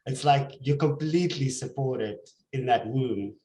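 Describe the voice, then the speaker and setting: A man telling a spiritual story in a casual chat, reverent and slow in places. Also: mid-range voice, warm tone, reverberant. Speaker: guest.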